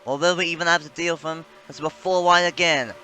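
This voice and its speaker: Male sim-racing commentator, slightly high voice with a forceful edge, rambling live play-by-play that corrects itself as it goes. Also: steady tone, fairly quick, slight background noise.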